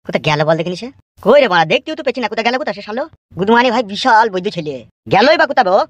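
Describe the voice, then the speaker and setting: A man's voice: sits high, animated, rapid-fire, no echo. A man voicing a boastful, threatening young village henchman in a cartoon, coarse. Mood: exasperated. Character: blustering, foul-mouthed young village henchman. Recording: faint music behind the voice.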